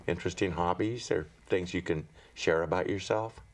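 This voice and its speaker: A man hosting a public-access TV interview, asking his guest questions in a measured, friendly way. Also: deep voice. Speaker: host.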